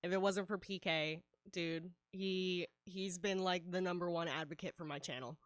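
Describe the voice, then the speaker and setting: A woman's voice: fairly low pitch, fairly even intonation, moderate pace, no echo, studio quality. A woman livestreaming her art, talking casually with a nasal head cold and lots of fillers. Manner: chatty, laughs often, many fillers. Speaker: livestreaming artist. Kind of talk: casual streaming chat while drawing.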